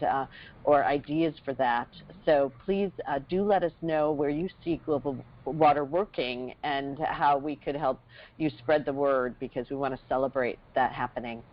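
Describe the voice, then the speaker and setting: A woman moderating a conference call, hesitant, with many fillers, thanking and introducing speakers. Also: deep voice. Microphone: computer or phone on a conference call. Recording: muffled conference-call audio.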